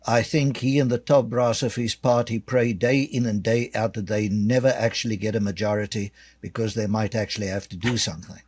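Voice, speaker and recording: An older man from South Africa with a South African accent, a guest author answering interview questions in a measured, dryly sarcastic way with many fillers. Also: fairly low pitch, steady tone, a little mellow, no echo.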